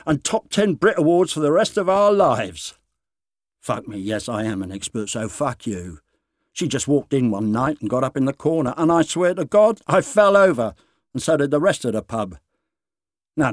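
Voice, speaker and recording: A man's voice: a little high, no echo, studio quality. A man voicing a fat pub landlord in a short story, gushing, sweary and fiercely devoted, in an emphatic, confiding way that repeats itself. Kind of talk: dramatised short-story reading.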